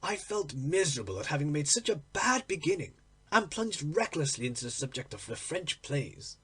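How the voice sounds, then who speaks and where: A man voicing a troubled, impatient, lovestruck gentleman in a storybook reading, earnest, dramatic and deliberate. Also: a little high, sing-song, slightly rough, full character voice. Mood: wistful, fervent. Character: troubled, impatient, lovestruck English gentleman telling his secret.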